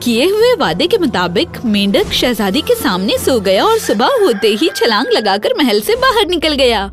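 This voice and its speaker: A woman narrating a scripted children's fairy tale in an expressive, dramatic storytelling manner. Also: sits high, normal speed, soft background music.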